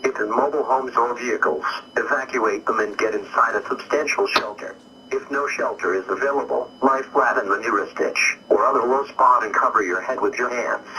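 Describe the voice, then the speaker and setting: A man's voice: mid-range voice, sharp tone. A man's synthetic voice reading a scripted emergency weather warning in a formal, impersonal manner. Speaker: emergency alert announcer. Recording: distant, noisy radio-like sound.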